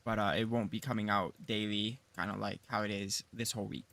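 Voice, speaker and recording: A man with a raspy voice, groggy from just waking up, casually chatting through a live gameplay stream and rambling with self-deprecating humor. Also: fairly low pitch, fairly even intonation, brisk, fairly bright tone. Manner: rambling, self-deprecating, with frequent fillers and laughs. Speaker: game streamer.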